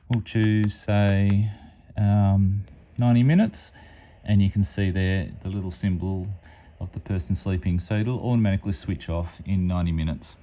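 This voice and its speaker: A man's voice: fairly low pitch, mellow. A man giving a casual, plain-spoken hands-on product demonstration as a hobbyist reviewer. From Australia.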